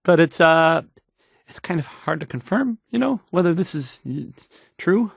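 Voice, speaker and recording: A man's voice: fairly high pitch, expressive, creaky, breathy edge, no echo, clean studio sound, full character voice. A man playing a timid, awkward young radio DJ in a video game, hesitant and apologetic as he stammers through the news in a shaky voice. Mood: flustered, unsure. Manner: stammering, halting, apologetic, trailing off. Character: timid, awkward young male radio DJ, anxious and unsure of himself.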